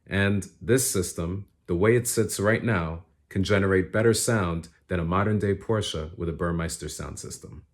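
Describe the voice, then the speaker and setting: A man's voice: deep voice, normal speed, few pauses. A man presenting a car vlog, moving between casual remarks and scripted narration. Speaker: car owner and vlogger.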